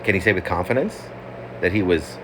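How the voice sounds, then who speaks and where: A man reading his own poem as a wry, halting, digressive literary monologue. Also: low-pitched. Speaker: poet reading own work. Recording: clearly noisy, steady background hum.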